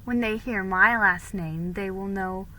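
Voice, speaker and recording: A woman's voice: medium pitch, measured pace, sharp tone, pitched below the performer's own voice, low-quality recording. A woman voicing a nervous, polite colonial girl with a hesitant, worried manner in a storybook reading.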